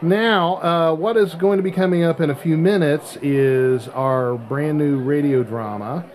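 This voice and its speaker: A man's voice: fairly high pitch, a little slow, darkish tone, slight background noise. A man hosting a podcast in a casual, conversational style. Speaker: podcast host.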